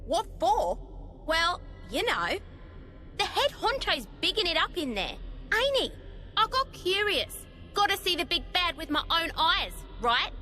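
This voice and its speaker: A woman's voice: very high voice, big pitch swings, a little slow, sharp tone, soft background music, full character voice. An actress playing a brash, cheeky young woman fighter in a video game, talking in slangy, cocky style. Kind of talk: video game cutscene voice acting.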